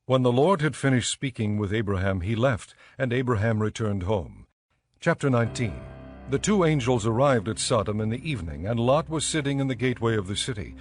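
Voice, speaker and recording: A man narrating scripture from a script in a measured storytelling manner. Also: mid-range voice, expressive. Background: faint background music.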